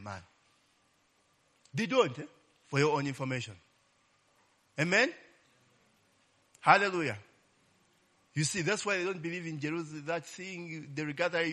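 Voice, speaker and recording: Lively, bright-voiced preacher. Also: male voice, on the high side. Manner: call-and-response, frequent "eh?" tag questions; exclaims "Glory be to God" and "Hallelujah". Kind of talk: casual Bible teaching sermon.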